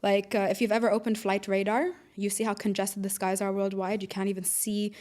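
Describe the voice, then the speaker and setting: Podcast guest: woman from the UAE, mid-pitched, informal conversational explainer and storyteller. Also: little pitch movement, fairly quick, darkish tone. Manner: informal, explains with everyday analogies. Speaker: podcast guest, air traffic controller.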